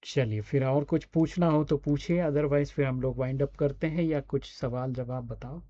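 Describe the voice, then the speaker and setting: Male Indian coach, mid-pitched and soft-toned, explanatory online teaching with frequent check-ins, dull recording. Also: steady tone, moderate pace, no echo. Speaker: voice and radio scriptwriting coach.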